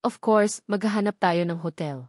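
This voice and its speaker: A woman narrator plays a gentle, wealthy Filipino man in a novel. He speaks tenderly and earnestly, as an attentive suitor would, with a Filipino accent. The sound is close and dry. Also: medium pitch, normal speed, no room sound, natural voice. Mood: decisive.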